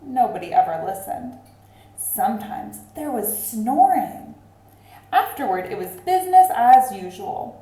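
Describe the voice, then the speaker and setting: A woman reading aloud expressively to children like a teacher, deliberate and addressing them directly. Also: on the high side, sing-song, mellow. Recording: roomy, echoing sound.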